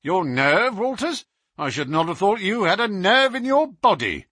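A man voicing a stern male police inspector who questions in a sharp, clipped way. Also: high voice, very animated, normal speed, breathy edge, no echo, studio quality, pitched above the performer's own voice, full character voice.

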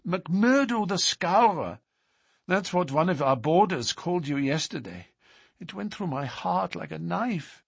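A man's voice: lively intonation, unhurried, creaky, husky, no room sound, clean studio sound, full character voice. A man voicing a frightened, devoted young woman who pleads urgently in a raised voice, in an audiobook reading. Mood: pleading. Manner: high, tearful, urgent pleading.

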